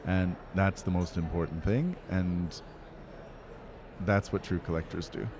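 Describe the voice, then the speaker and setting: Low-voiced, earnest male curator. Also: steady tone, measured pace, noisy background. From Pennsylvania, USA. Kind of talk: interview answers.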